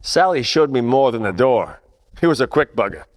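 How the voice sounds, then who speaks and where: A man playing a wry, deadpan private eye and ex-cop in a parody of 1940s radio detective drama, narrating and trading wisecracks. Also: mid-range voice, normal speed, natural voice.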